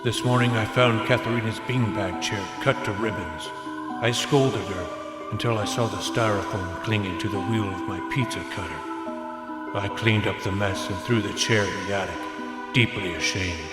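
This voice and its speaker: A man's voice: on the low side, normal speed, lightly acted. An actor playing a troubled, exhausted seventies family man, reading out his diary in a weary, confessional way. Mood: weary, ashamed. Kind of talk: scripted audio drama diary narration. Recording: long reverb, music underneath. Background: loud music.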